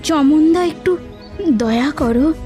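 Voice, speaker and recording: Gentle elderly village mum for a cartoon, high, unhurried female voice acted, earnest and encouraging. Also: soft background music. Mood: pleading. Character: gentle, caring old village mother. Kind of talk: cartoon dialogue.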